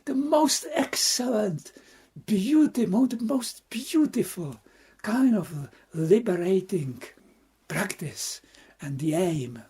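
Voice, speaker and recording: A Buddhist monk from the Czech Republic, speaking with a Czech accent in a reflective dharma talk, halting and searching for words with frequent soft laughs. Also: male voice, high voice, husky.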